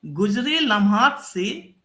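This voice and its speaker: A young man with a sore throat recites ghazal couplets, saying each line twice in a slow, deliberate way. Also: high-pitched, lively intonation, sharp tone. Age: young adult. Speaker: ghazal poet.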